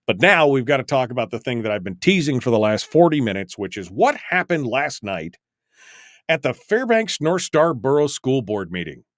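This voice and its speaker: A man presents freeform talk radio with an emphatic, easily agitated delivery. His voice sits at an average pitch and rambles in a self-mocking way. The sound is close and dry, as from a studio microphone. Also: very animated, normal speed, no room sound. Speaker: radio call-in show host.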